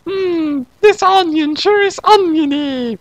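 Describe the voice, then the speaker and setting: A narrator voicing a brash, weepy girl magical fighter in a very high squeaky falsetto, given to dramatic confessions in a parody story. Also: takes it slowly, low-quality recording. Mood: sullen.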